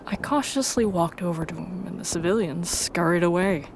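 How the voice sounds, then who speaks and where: Low-pitched woman narrating a story. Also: moderate pace. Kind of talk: scripted first-person story narration. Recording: faint background noise.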